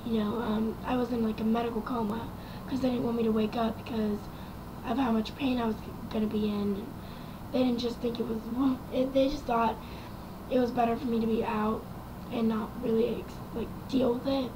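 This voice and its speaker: A young woman with a raspy, quiet voice, telling her personal story to camera in a rambling way with many ums and likes. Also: fairly high pitch, flat delivery, normal speed, background noise. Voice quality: raspy and quiet from vocal cord damage. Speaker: vlogger.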